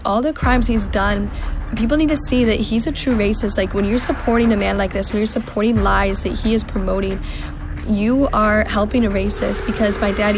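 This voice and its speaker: Woman, earnest testimony, casual fillers, music underneath. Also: mid-range voice. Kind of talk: testimony to camera.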